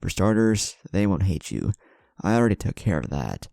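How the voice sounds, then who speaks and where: A man's voice: low-pitched, big pitch swings, normal speed, creaky, mellow, dry recording, clean studio sound, pitched above the performer's own voice, exaggerated voice. A man voicing a loyal, protective and hot-tempered young male ninja in a story, casual and emphatic. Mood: confident explaining.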